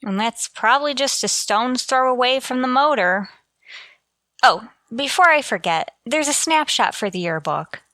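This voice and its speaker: A sardonic, quick-witted woman schoolteacher, played by an actress in old-time radio comedy. Her bright voice moves freely up and down through dry wisecracks. The sound is close and dry. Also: sits high, no room sound, exaggerated voice. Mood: amused, dry. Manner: deadpan, wisecracking. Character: wry, quick-witted adult female schoolteacher. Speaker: actor.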